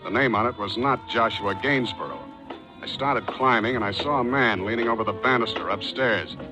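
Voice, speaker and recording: An American actor in a 1940s radio drama playing a cool, wisecracking private detective, dry, clipped and sardonic. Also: male voice, medium pitch, normal speed, over music, natural voice. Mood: dry narrating. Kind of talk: 1940s radio acting with first-person narration. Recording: old 1940s radio recording.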